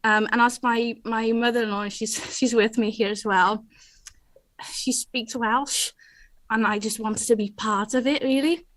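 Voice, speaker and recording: A woman from the Netherlands answers onstage questions in a fairly high voice. She hesitates with frequent ums and small laughs. The sound is dry. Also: fairly even intonation, measured pace, a bit bright, no room sound. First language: Dutch. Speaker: award winner, digital skills trainer. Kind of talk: onstage interview.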